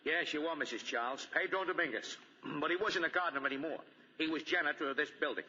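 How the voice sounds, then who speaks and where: A businesslike police lieutenant with a very sharp, forceful voice. He talks fast and clipped in a 1940s radio mystery, with muffled, reverberant sound. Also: fairly high pitch, slight background noise. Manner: matter-of-fact, clipped.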